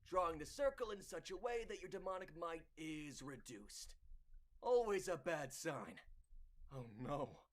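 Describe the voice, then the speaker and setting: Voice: mid-range voice, animated, measured pace, natural voice. A voice actor playing an ominous, knowing expert on demons in a demo reel.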